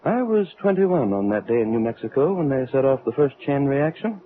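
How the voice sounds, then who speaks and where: An actor playing a stern, gloomy older doctor of science in a radio drama, formal and admonishing in manner. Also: male voice, mid-range voice, sing-song, brisk, close to an everyday voice. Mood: brooding. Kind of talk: old radio drama acting. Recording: thin phone-like old radio recording.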